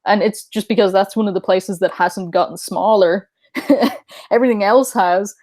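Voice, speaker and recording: A woman's voice: medium pitch, moderate pace, darkish tone, dry recording. A woman co-hosting a casual podcast, musing and speculating with fillers. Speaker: podcast co-host. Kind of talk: casual conspiracy podcast chat.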